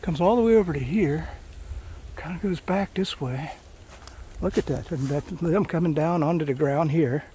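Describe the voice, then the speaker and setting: A man's voice: on the high side, moderate pace, mellow, a little hiss or hum. A middle-aged man talks casually while hiking a forest trail, rambling with many fillers and restarts. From Florida. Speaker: hiking vlogger.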